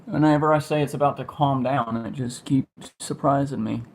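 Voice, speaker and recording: A man's voice: mid-range voice, steady tone, moderate pace, vocal fry, a little mellow. A man casually narrating an amateur livestream, rambling and thinking aloud as he goes. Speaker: amateur weather spotter livestreaming. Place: at home during a storm. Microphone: phone. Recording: noisy amateur livestream, ambient noise.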